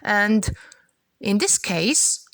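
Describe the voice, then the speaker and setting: Female teacher, Moroccan accent, native Arabic speaker. Mid-pitched, didactic explainer delivery in a dry recording. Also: animated, extremely slow, a bit bright, dry recording. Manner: didactic, repeats and checks with "okay". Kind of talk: explanatory lesson for students.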